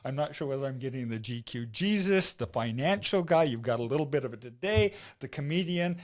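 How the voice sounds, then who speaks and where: A man's voice: mid-range voice, animated, brisk. A man hosting an interview, affirming his guest and reflecting thoughtfully on what he hears. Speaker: interview host. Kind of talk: conversational interview.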